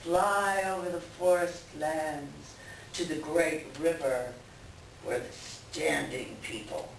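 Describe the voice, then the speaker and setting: A woman playing a grave, commanding leader of the animal people in a readers theater folktale, speaking deliberately. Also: deep voice, creaky, heavily acted. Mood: instructing. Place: library room at a live reading. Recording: echoey room, distant mic, faint noise.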